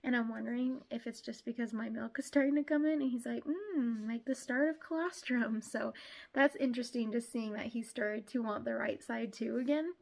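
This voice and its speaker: Young woman vlogger, somewhat high voice, stuffy from a cold, relaxed chatty delivery with frequent laughs. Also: moderate pace, creaky. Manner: chatty, laughs often, many "like"s. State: congested from a cold. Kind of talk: casual pregnancy update.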